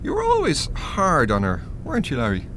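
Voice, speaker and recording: An actor in a radio play playing a stern, sardonic spirit guide, with an Irish accent and a dry, reproving manner. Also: male voice, fairly high pitch, wildly swooping pitch, fairly quick, noisy background.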